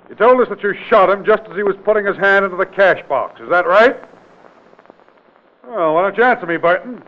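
A man's voice: high-pitched, fairly quick, close to an everyday voice. Man playing a commanding radio-drama investigator, tinny vintage recording. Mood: accusing, insistent. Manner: authoritative, brusque, insistent rhetorical questioning. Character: forceful, commanding male state investigator exposing a killer. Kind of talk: 1940s-50s radio drama acting.

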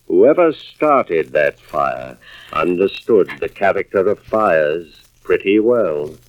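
A man playing a grave, suspicious husband and fire investigator in a mid-century radio drama, with deliberate, dramatic delivery. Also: deep voice, very animated, slight background noise, exaggerated voice. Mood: probing, grave. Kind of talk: 1940s-50s radio drama acting, first-person narration. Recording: muffled vintage radio recording with music behind.

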